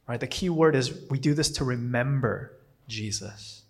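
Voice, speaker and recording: A man's voice: mid-range voice, normal speed. Man preaching a loose, chatty sermon. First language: not English. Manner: rambling, self-correcting, with frequent fillers and restarts. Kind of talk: casual conversational sermon.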